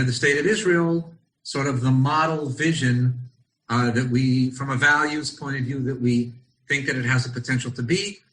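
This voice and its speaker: Bright-voiced American man, teaching over video call. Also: medium pitch, low-quality recording. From Los Angeles, United States. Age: middle-aged. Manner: thoughtful, searching for words with frequent ums and restarts. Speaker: rabbi teaching a study session. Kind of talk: online study session, reflective teaching. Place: at home in Israel.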